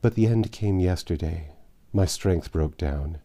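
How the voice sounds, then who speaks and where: A man's voice: low-pitched, a little slow, warm tone. A man reading an audiobook as a grieving, starving peasant mother, telling of hardship in impassioned, archaic speech. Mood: anguished. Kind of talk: dramatic audiobook character reading.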